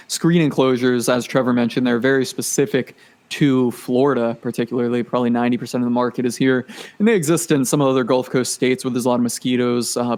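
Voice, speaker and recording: Brief: late-20s man, guest on a video-call chat podcast, lively storyteller, laughs easily. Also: medium pitch. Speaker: podcast guest, business owner. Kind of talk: casual interview chat.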